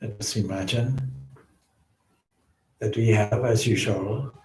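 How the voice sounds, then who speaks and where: Slow, low-voiced man guiding meditation. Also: darkish tone, a little hiss or hum. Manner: measured, solemn phrasing with frequent pauses. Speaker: swami leading a guided meditation. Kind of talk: guided meditation. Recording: roomy, echoing indoor sound.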